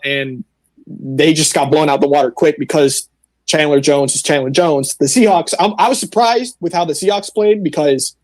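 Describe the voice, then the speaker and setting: A man's voice: on the high side, darkish tone, no room sound. A man giving blunt, opinionated sports analysis casually as a fan panelist.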